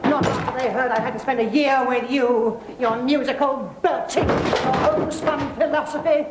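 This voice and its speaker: A woman's voice: high voice, fairly even intonation, normal speed, heavy background noise, natural voice. An actress playing Penelope, a haughty and snobbish mother who trades scornful insults in a comedy scene. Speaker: Penelope, feuding parent. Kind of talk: scripted TV comedy acting.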